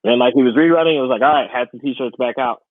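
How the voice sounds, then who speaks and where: A man's voice: mid-range voice, quick pace, dry recording. A man talks casually as a podcast co-host, in colloquial phrases he often repeats for emphasis. His mid-range voice comes through dry and narrow, like a call over the internet. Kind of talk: casual conversational podcast chat. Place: remote, away from studio. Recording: tinny, phone-like internet call audio.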